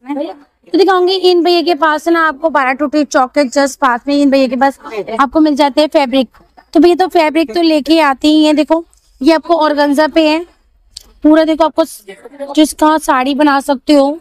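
A woman's voice: sits high, fairly even intonation, normal speed, no room sound, poor audio. A woman vlogging from an outdoor street market on a handheld phone, chattily repeating each price for her viewers. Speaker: shopping vlogger. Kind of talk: market walkthrough vlog.

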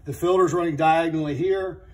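A man's voice: on the high side, fairly even intonation, fairly quick. Man demonstrating a furnace, methodical. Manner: plain, methodical explaining with frequent restarts. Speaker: HVAC trainer. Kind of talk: hands-on technical demonstration. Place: indoor training room with a furnace.

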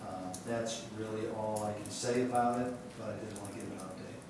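A man with an Ohio accent giving matter-of-fact updates at a city council meeting, speaking haltingly with many fillers. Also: fairly low pitch, steady tone, a little mellow. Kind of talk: public meeting remarks. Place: large council chamber. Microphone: desk microphone heard from a distance. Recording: very echoey chamber, distant mic, background hiss.

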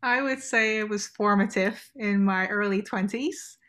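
A woman researcher speaks informally at a medium pitch. She is from Hong Kong and Canada and joins an academic chat by video call from a room at home. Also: unhurried, fairly bright tone. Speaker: music researcher and professor.

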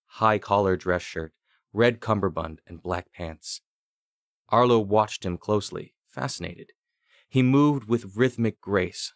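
Male narrator, deep voice, deliberate, dramatic, fantasy audiobook, very dry close sound. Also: dry recording. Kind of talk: scripted fantasy story narration.

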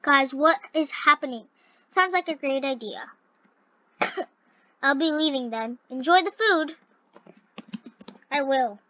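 A young female gamer with a scratchy voice, commenting on a game and reading its dialogue aloud in an excitable, halting way. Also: high-pitched, no room sound. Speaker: gaming video host. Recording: dull, lo-fi close microphone.

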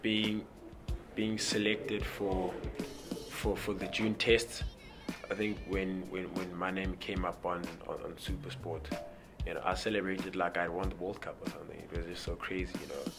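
A young South African rugby player in his twenties answering interview questions in a relaxed way, repeating words and using many "you know" fillers. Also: deep voice, moderate pace, creaky, music in the background. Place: indoor press backdrop.

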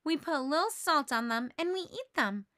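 A woman's voice: high-pitched, expressive, normal speed, sharp tone, dry recording, clean studio sound, pitched above the performer's own voice. A woman voicing an exasperated, dramatic tomboy schoolgirl in a storybook, emphatic and plaintive. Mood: matter-of-fact.

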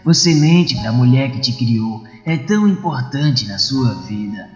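A man's voice: mid-range voice, lively intonation, unhurried, close to an everyday voice. A man playing an introspective, absent-minded, earnest young man in a comic-book dub. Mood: troubled. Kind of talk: dubbed comic-book dialogue and inner monologue. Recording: echoey, muffled, music behind.

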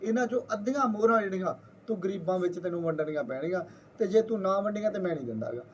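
A man's voice: sits high, animated, rapid-fire, highs cut off, slight background noise. A man with a Punjabi accent voicing a sly, artful trickster who feigns innocence, in an informally told folktale. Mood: bargaining. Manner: colloquial, bargaining, artful.

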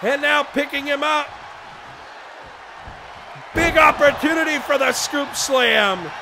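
Man with a failing voice, high-pitched, gravelly, excited wrestling commentary, loud arena crowd behind. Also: slow. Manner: shouting excitedly over the action. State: losing his voice. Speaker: play-by-play commentator for a wrestling video game. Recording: game crowd noise behind voice. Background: video game arena crowd and sound effects.

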